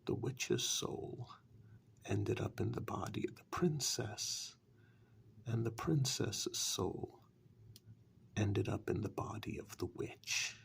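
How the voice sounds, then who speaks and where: Guy doing a condescending warlock for fairytale asmr, lowish crackly voice, breathy and soft, drags every word out slyly. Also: full character voice. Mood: hushed storytelling. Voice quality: breathy half-whisper, gravelly. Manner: slow, deliberate, dryly dismissive. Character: sly, condescending dark-fairytale warlock, coolly bargaining. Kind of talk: soft-spoken ASMR role-play.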